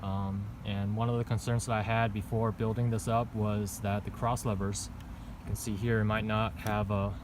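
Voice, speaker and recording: Male vlogger, lowish pitch, hesitant off-the-cuff explainer, recorded outside amid obvious traffic noise. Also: little pitch movement, normal speed. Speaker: vlogger reviewing own bike setup. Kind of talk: casual unscripted product walkthrough. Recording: noisy outdoor recording with traffic rumble.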